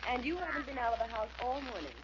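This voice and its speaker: Woman acting an indignant, animated rich lady. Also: a little high, fast talker, natural voice. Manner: sharp, imperious. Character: indignant, commanding wealthy lady of the house. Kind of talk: 1940s radio acting. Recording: muffled, very noisy old radio recording.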